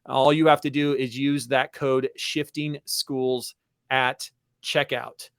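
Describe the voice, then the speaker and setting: A man's voice: medium pitch, level tone, slow pace, fairly bright tone, clear, resonant tone, no echo, studio quality. A man hosting an educational webinar, talking conversationally in an enthusiastic, emphatic and personal way. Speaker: podcast and webinar host.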